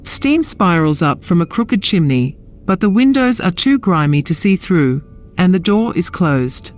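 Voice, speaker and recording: A woman's voice: on the low side, very animated, unhurried, a little mellow. A woman narrating a scripted fantasy audio drama in a descriptive storytelling style. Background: music.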